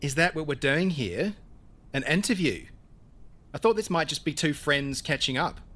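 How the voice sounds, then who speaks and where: A man's voice: on the high side, lively intonation, moderate pace, bright, forceful tone. A man playing an aloof, private carpenter in an audio drama, blunt and laconic, addressing people as mate. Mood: needling. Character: aloof, private male production designer and carpenter, needling.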